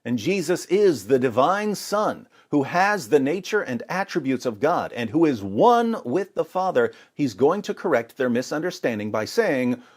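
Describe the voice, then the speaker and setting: A man's voice: on the high side, big pitch swings, normal speed, hardly any pauses. A man presenting scripted religious commentary and scripture readings in a dramatic, rhetorical manner. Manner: dramatic, rhetorical, sarcastic mock alarm. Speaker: apologetics presenter.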